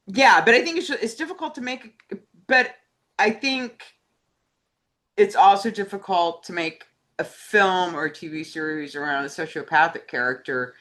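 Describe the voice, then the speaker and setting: Woman, co-host, bright sharp voice, casual chat, informal and talkative. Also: deep voice. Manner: chatty, laughs often while talking.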